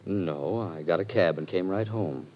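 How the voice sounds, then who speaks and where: A man's voice: low-pitched, measured pace. A man playing a sarcastic, stubborn wealthy young man in a vintage radio drama. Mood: sardonic. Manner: smooth, sarcastic, mocking politeness. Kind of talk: 1940s radio acting. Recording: dull old radio broadcast with faint hiss.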